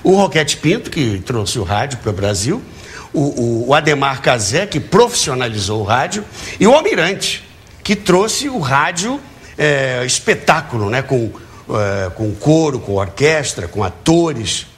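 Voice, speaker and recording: Older male, Brazilian accent, very lively intonation, emphatic interview storyteller, slightly noisy sound. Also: medium pitch, a little slow. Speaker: talk show guest, radio and TV educator. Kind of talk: interview, reminiscing.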